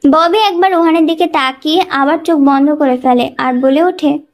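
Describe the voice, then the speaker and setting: High-pitched woman narrating a story. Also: moderate pace. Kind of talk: scripted dramatic story narration.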